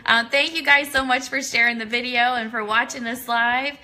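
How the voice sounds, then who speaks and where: A woman's voice: fairly high pitch, fairly even intonation, normal speed, bright, forceful tone. A woman hosting a casual live interview, effusive and encouraging toward her guest and audience. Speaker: radio host, interviewer.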